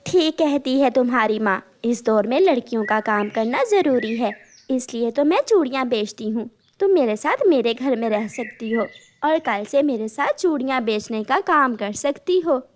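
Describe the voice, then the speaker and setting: A woman's voice: fairly high pitch, animated, moderate pace, slight background noise, natural voice. A woman voicing a practical female bird who sells bangles, kindly but firm and matter-of-fact, in a cartoon. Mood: helpful.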